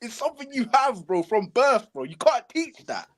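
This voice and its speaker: A man calling in to a football show, ranting in an exasperated, animated way. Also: extremely high pitch, moderate pace. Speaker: caller. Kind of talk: casual chat.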